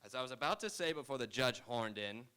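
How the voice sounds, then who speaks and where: A man's voice: medium pitch, expressive, fast, bright, forceful tone, smooth. A man playing a brash, shameless shyster lawyer in a live old-time radio comedy, wisecracking and mock-pompous. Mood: self-important.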